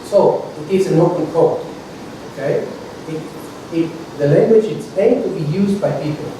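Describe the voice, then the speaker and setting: A man's voice: fairly high pitch, normal speed, background noise. Italian man lecturing in an echoey hall. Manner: explanatory, checks in with "okay?", frequent restarts. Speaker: university lecturer. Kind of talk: academic lecture to a seminar audience. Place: university lecture room. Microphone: distant from the recording mic. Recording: very echoey room recorded from far off.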